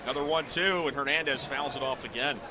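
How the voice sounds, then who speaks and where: A middle-aged man calling baseball live on the radio as play-by-play announcer, in clipped descriptive phrases that rise into excited calls. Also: mid-range voice, fast, crowd noise, background noise. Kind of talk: live radio sports commentary.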